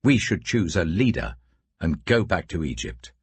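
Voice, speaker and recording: A man's voice: low voice, sing-song, moderate pace, breathy edge, no room sound, heavily acted. A British man voicing fearful, grumbling ancient Israelite men in a dramatic, emphatic audiobook reading. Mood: bitter complaint.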